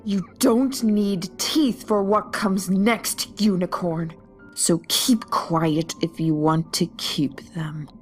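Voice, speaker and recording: A woman's voice: lowish voice, steady tone, slow, faint music behind. A woman voicing a ruthless, obsessive unicorn pony villain with threatening, hissed emphasis in a dramatic fan fiction audio story. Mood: cold menace.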